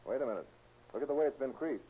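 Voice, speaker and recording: A scratchy old radio recording of a man acting an American police detective. He talks very fast in a clipped, insistent, businesslike way, all persistence and procedure. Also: medium pitch, animated, mellow, dull audio, lightly acted. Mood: focused. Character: brisk, persistent male police detective lieutenant. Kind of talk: 1930s radio crime drama acting.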